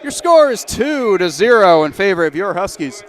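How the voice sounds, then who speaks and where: A man calling live hockey play-by-play, brisk and lifting his voice on rushes toward the net. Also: high voice, sing-song. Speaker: play-by-play commentator.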